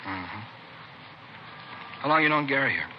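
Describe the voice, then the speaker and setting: An actor playing a big, wary, surly drug pusher with curt, challenging street slang in a 1950s radio crime drama. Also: male voice, mid-range voice, animated, measured pace, natural voice. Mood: wary, probing. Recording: dull, noisy vintage radio recording.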